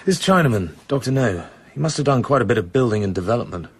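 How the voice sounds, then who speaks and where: An actor playing a cool, suave British secret agent in a radio drama, understated and brooding. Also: male voice, mid-range voice, lively intonation, fast, breathy edge. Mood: businesslike.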